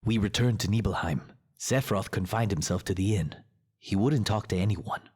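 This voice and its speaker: A man's voice: lowish voice, normal speed, darkish tone, breathy edge. A man playing an earnest, troubled young mercenary in a dramatic video game voice-over. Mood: somber. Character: earnest, troubled young male mercenary recounting his past.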